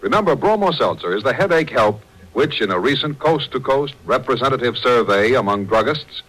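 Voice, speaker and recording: A man's voice: medium pitch, expressive, a little fast, a bit bright, rough recording. A man working as a radio announcer, giving a formal, polished scripted read in 1940s style. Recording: old 1940s radio recording with music.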